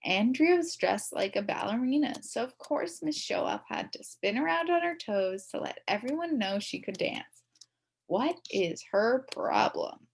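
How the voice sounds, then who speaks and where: A woman reading a children's storybook aloud in an expressive, playful way. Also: fairly high pitch, a little slow, vocal fry, slightly rough. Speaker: story reader.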